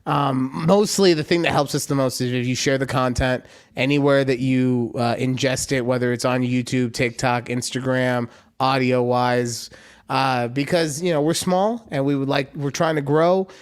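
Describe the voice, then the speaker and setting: A man's voice: medium pitch, steady tone, normal speed, clean tone. A man co-hosting a casual podcast, chatting in a rambling way and acting out the people he quotes. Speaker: podcast co-host.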